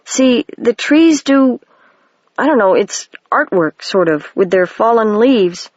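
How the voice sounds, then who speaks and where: A bold, curious young girl wizard, read by a single narrator. She sits a bit higher than the reader's own voice. The sound is dry and muffled, like a home recording. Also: animated, slow pace, dry recording.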